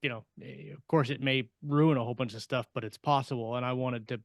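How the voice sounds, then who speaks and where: A man talks casually as a podcast co-host, working through his thoughts in a halting way. His pitch sits in the middle, and the close, dry sound has little room in it. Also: fairly even intonation, dry recording. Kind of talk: casual panel discussion.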